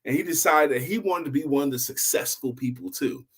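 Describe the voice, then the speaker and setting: A man hosting a story show, telling a motivational tale in a deliberate, emphatic way with repeated phrases. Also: medium pitch, a bit bright, husky. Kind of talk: motivational oral storytelling to online viewers.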